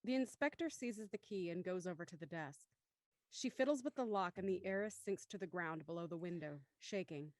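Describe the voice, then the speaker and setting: A woman reading scripted narration for a radio mystery in a deliberate, dramatic way. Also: lowish voice, no room sound.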